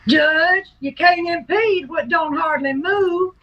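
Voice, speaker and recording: A woman's voice: very high-pitched, slow pace. A woman acting a brash, mocking frontier woman in a western radio drama, with folksy taunts, on dull audio. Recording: dull audio, crowd noise behind.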